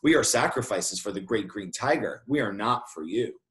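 A man voicing a frightened, flattering little girl in a storybook, pleading and telling her tale dramatically. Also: lowish voice, moderate pace. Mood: defiant. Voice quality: quivering.

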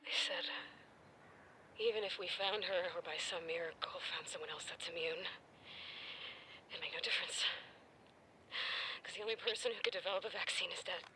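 An actress playing a disillusioned survivor, somber and resigned, heard through a handheld tape recorder in a video game. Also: female voice, fairly low pitch, moderate pace, pauses often, bright, forceful tone. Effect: played back on a handheld tape recorder. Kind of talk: subdued dramatic video game monologue. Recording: noisy handheld cassette recording.

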